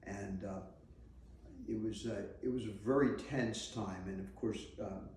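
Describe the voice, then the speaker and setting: Mellow older man in an echoey room. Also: on the low side, steady tone. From New Jersey, USA. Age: 60s. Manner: rambling, halting storyteller. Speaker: interviewee sharing memories. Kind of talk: unscripted oral-history recollection. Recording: echoey room, mic at a distance, faint noise.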